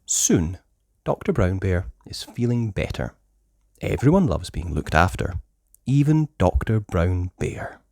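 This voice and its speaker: Expressive, slow-paced children's story reader. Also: deep voice, dry recording, studio quality. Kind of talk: read-aloud scripted narration.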